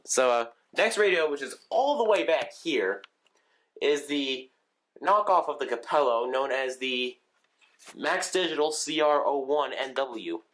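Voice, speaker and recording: A man's voice: medium pitch, animated, measured pace, fairly bright tone, a little hiss or hum. A man casually showing his weather radio collection on video, rambling with lots of ums and restarts. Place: room at home with shelves of radios.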